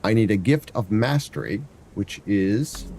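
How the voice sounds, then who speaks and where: A man's voice: lowish voice, lively intonation, a little slow, a little mellow, a little hiss or hum. A man with a stuffy nose chatting casually and rambling while he walks through a game on a live stream. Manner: rambling, thinks aloud, hums to himself. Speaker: variety streamer. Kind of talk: casual gameplay commentary.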